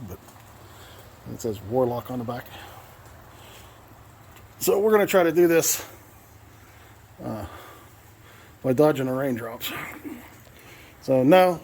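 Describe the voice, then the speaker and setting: A man's voice: medium pitch, darkish tone, slight background noise. An American man giving a casual, conversational vlog walkthrough in a folksy, plain-spoken way. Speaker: vlogger, radio hobbyist.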